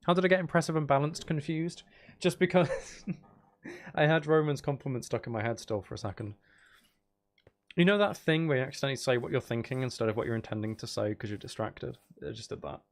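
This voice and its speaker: A man's voice: medium pitch, lively intonation, brisk. A man giving casual live commentary while streaming a video game, muttering wry, exasperated asides to himself.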